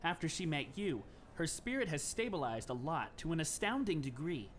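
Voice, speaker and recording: A fairly high male actor's voice plays a composed teenage schoolboy in an anime fandub. He speaks in a courteous, measured and formal way, over faint background noise. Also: sing-song. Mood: calm, pleased.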